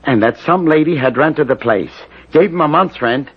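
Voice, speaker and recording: Desperate, pleading husband, swooping voice. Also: male voice, on the high side, lively intonation, moderate pace, husky. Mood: troubled, pleading. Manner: pleading, insistent, agitated. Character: desperate, gullible working-class husband wrongly suspected of bribery. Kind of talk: 1970s radio drama acting. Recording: dull, noisy vintage radio broadcast.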